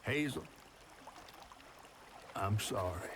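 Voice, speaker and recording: An actor voicing a folksy, wry and caring talking fish in a video game, telling stories with a gravelly Southern US accent. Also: male voice, lowish voice, background noise. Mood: worried, gentle. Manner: folksy, expressive, unhurried storytelling. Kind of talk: theatrical storybook narration and game dialogue. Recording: music under the voice.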